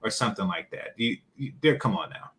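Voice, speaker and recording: Male co-host, lowish voice, playful ribbing questions. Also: lively intonation, brisk, fairly bright tone. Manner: teasing, mock-incredulous questions. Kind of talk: casual chat, interviewing a caller.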